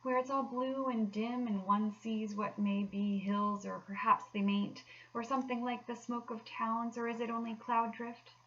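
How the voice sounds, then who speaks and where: A woman's voice: a little high, flat delivery, normal speed, few pauses, fairly bright tone, lo-fi sound, pitched above the performer's own voice. A woman voicing a timid, polite male mole in a children's storybook, with eager, tentative questions. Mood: timid, curious. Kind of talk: children's storybook read aloud.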